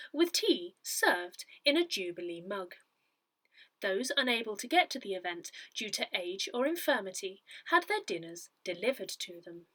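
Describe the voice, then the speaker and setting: A woman reads a written newsletter piece aloud. Her pitch is mid-range for a woman and moves with a lot of variety. She delivers the text in measured phrases, as a narrator of a scripted article. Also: a bit bright. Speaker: newsletter reader.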